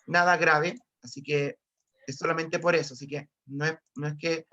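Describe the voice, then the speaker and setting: A male high school physics teacher with a Chilean accent, giving an online class while a bit hoarse from a cold, repeating himself and often calling to his students. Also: fairly even intonation, normal speed, sharp tone. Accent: Chilean Spanish. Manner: repetitive, restarts often, keeps addressing students. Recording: dull lo-fi online call audio.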